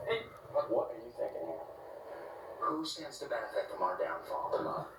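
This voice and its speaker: Blustering sitcom office boss, lively swooping pitch, TV sound across an echoey room. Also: male voice, measured pace, recorded from a distance, exaggerated voice. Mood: scheming. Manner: switches between put-on customer voices. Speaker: sitcom character playing in the background.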